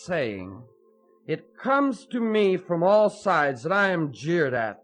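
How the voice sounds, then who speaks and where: A man's voice: on the high side, sing-song, takes it slowly, fairly bright tone, soft background music, heavily acted. An actor playing an earnest, tipsy young college man with theatrical delivery in a radio crime drama. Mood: tipsy, declaiming. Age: young adult. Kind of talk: 1950s radio crime acting.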